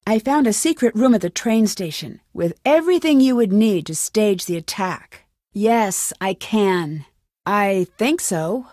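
A woman's voice: mid-range voice, expressive, measured pace, darkish tone, dry recording, studio quality. An American woman playing a determined young amateur detective in a video game, assertive and questioning. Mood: businesslike, curious. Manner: assertive, questioning, clearly enunciated. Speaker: game protagonist.

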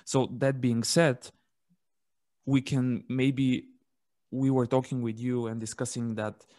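Flat-voiced young male podcast host. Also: mid-range voice, slow pace. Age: young adult. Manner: even, flat delivery with filler words like "like" and "right". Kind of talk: conversational podcast interview. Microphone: podcast microphone close up.

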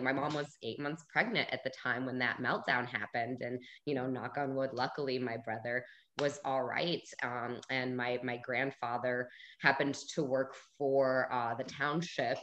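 A woman's voice: a bass voice, fairly bright tone. A woman in her thirties from Bulgaria, guest speaker on a video call, talking earnestly with lots of fillers. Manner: earnest, conversational, many fillers. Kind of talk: casual talk on a video call. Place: room at home, indoors. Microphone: video call webcam.

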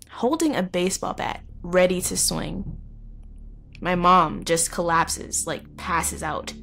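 A woman playing a frightened teenage girl, narrating a horror audio drama in a halting, confessional, conversational way. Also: fairly low pitch. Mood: shaken, hushed. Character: terrified teenage girl recounting a horror she fled.

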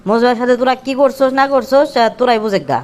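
A woman voicing a bossy, sharp-tongued village relative in a comic cartoon, scolding colloquially with a rural Bangladeshi accent. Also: a little high, quick pace, smooth, close to an everyday voice. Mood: dismissive. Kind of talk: comic Bengali cartoon dialogue.

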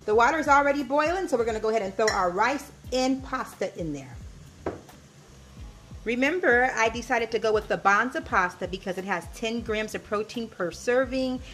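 Woman, thirties, cooking vlogger, upbeat, chatty, slightly high voice, home kitchen, close camera mic. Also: moderate pace, fairly bright tone, slight background noise. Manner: upbeat, chatty, talks to viewers as "you guys". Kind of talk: casual cooking demo chat.

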